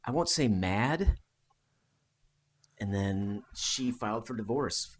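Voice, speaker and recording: A man's voice: lowish voice, expressive, takes it slowly. An American man co-hosting a podcast, chatting casually about history and rambling with fillers and restarts. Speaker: podcast co-host.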